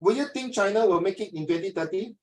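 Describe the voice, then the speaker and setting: A man's voice: high voice, lively intonation, fast, a little mellow. A middle-aged man with a Singaporean English accent teaching a class, joking, wandering off topic and mixing in Chinese. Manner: joking, digressive, switching to Chinese. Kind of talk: classroom lesson.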